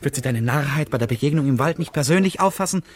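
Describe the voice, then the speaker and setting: Actor playing a dreamy, eccentric gentleman, higher-pitched. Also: lively intonation, fast, close to an everyday voice. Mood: earnest, confessional. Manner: rhapsodic, confessional, formally polite. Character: eccentric, dreamy, courteous male stranger spinning fantasies. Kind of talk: German radio drama acting.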